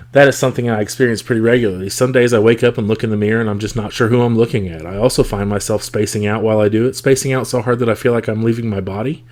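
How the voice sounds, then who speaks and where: A man hosting a podcast, talking casually and thoughtfully with a rough, congested, scratchy voice from a cold. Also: on the low side, a little mellow. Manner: reflective, thoughtful, with frequent fillers. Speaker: podcast host. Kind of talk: casual solo podcast talk.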